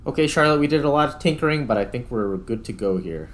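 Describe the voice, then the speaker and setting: A man's voice: medium pitch, lively intonation, fast. A man giving casual let's-play commentary as a gaming video host, thinking aloud in an informal way.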